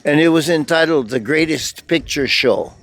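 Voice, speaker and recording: An older man from Hawaii gives an earnest, halting impromptu speech as an activist, rambling with pauses. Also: on the high side, a bit bright.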